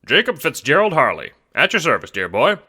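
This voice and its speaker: Guy putting on a hearty eccentric british gentleman adventurer, raised voice, very bright and blustery, audio drama. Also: a little high, wildly swooping pitch, a little fast, breathy edge, voice pushed up, heavily acted. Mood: hearty, jovial. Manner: pompous, jolly old-fashioned exclamations.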